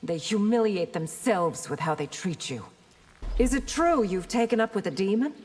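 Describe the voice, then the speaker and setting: An actress playing a cool, dutiful princess and military commander in a video game, composed and formal. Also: female voice, mid-range voice, lively intonation, moderate pace, a little mellow, husky. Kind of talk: video game fight banter, dramatic voice acting. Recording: long reverb with faint noise.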